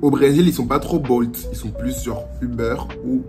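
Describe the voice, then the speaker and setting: A young man from France giving casual travel advice in a lively vlog, dropping Portuguese phrases as he talks. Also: medium pitch, moderate pace. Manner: chatty and animated, slips into Portuguese phrases. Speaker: vlogger. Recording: music playing under the voice.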